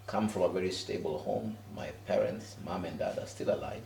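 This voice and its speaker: A man's voice: deep voice, brisk, vocal fry, a little mellow, roomy sound, distant mic, a little hiss or hum. A Kenyan pastor in his fifties, easygoing and chatty, talking about his life in a house interview. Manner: easygoing, laughs often, many fillers. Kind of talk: relaxed interview answers. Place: living room in a house.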